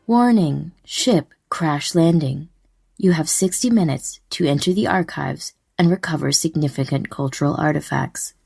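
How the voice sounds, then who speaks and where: A woman's voice: deep voice, moderate pace, creaky, a little mellow, slightly rough, no room sound, clean studio sound, soft background music, exaggerated voice. A woman voicing a spaceship computer, giving an impersonal, commanding emergency announcement in a sci-fi intro. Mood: impersonal warning.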